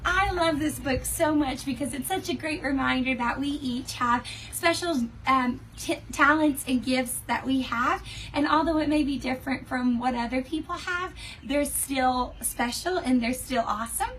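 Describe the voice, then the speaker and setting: A woman teacher reading a children's story aloud in an expressive, deliberate way, addressing young listeners. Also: high voice. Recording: distant mic with faint noise.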